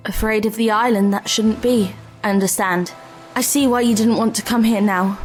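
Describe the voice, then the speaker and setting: An actress playing a plucky young adventurer in an audio drama, inquisitive and upbeat. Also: female voice, medium pitch, steady tone, normal speed, soft background music, natural voice. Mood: puzzled. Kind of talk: full-cast audio drama acting.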